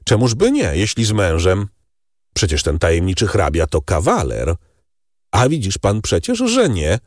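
Gossipy society ladies and gents, a guy doing the voices, pitched up, super animated. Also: moderate pace, no echo, studio quality. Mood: chatty. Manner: raised, animated voice for dialogue. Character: gossiping society ladies and young gentlemen at the opera.

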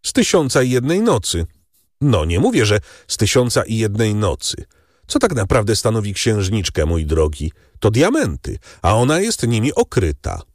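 Gossipy society ladies and gents, a guy doing the voices, pitched up, super animated. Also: moderate pace, no room sound, clean studio sound. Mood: chatty. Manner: raised, animated voice for dialogue. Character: gossiping society ladies and young gentlemen at the opera.

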